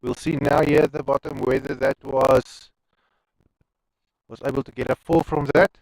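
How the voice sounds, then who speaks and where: A man's voice: mid-range voice, normal speed, creaky, a little mellow, no room sound, rough recording. A man with an Afrikaans accent giving chatty live commentary on an amateur cricket match, wandering into rambling asides between balls. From South Africa.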